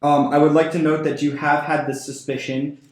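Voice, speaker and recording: Man, game master, slightly high voice, hesitant, very roomy echo. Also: flat delivery, normal speed, a little mellow. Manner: hesitant, many fillers. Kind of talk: running a tabletop game. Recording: very echoey room.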